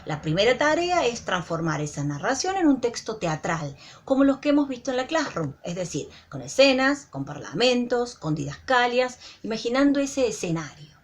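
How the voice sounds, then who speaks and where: A woman's voice: on the high side, very animated, normal speed, hardly any pauses. A woman with an Argentine accent explaining an assignment to students as their teacher. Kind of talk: instructional presentation to students.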